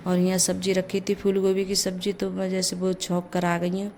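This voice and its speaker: A middle-aged woman with a low, gravelly voice made hoarse by a cold talks through her morning chores in a casual home vlog. Her pitch stays almost flat as she chats along. A faint hum of the house sits behind her. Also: fairly quick. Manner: chatty, leans on the filler "jaise". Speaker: vlogger, mother. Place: home kitchen.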